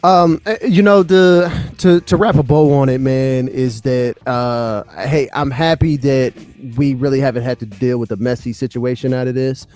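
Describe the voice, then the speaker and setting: A man's voice: mid-range voice, moderate pace, few pauses, a little mellow, soft background music. A man co-hosting a podcast, chatting informally with hip-hop slang. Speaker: podcast co-host. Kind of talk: casual chat.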